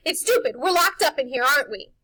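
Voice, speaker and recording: A woman's voice: high-pitched, lively intonation, fast, sharp tone, voice pushed up. Woman voicing a defiant, insistent young storybook boy. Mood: agitated, demanding. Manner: insistent, challenging questions.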